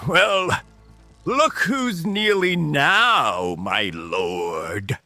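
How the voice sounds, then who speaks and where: A man voicing a menacing, mocking rebel mage leader in an audio drama, with an oratorical, drawn-out, taunting delivery. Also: on the high side, very animated, bright, forceful tone, no echo, studio quality, soft background music, pitched above the performer's own voice, heavily acted. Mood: gloating.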